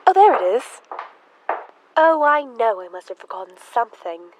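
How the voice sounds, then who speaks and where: A woman's voice: on the high side, big pitch swings, moderate pace, noisy background. A woman playing a flustered, forgetful lady in a radio play, fretful and scatterbrained.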